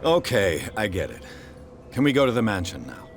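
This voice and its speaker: An actor playing a weary, wry private detective in a video game, brooding and muttering to himself. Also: male voice, on the low side, wildly swooping pitch, moderate pace, a little hiss or hum. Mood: probing, insistent.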